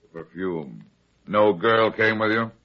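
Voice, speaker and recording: An actor playing a hard-boiled, heavyset private detective, terse and deadpan, in old-time radio style. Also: male voice, fairly low pitch, measured pace. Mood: grim, businesslike. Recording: dull, lo-fi old radio drama recording.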